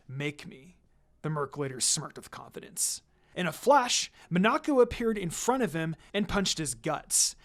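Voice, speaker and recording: Animated storyteller with a lively range. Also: mid-range voice. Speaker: story reader. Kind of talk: scripted narration, reading fan fiction aloud.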